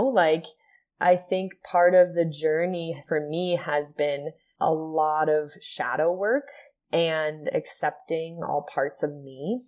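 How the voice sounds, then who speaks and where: A woman from Ohio, a yoga and sound healing teacher, telling her personal story as a podcast guest in an earnest, soft-spoken way, with many fillers. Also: low voice.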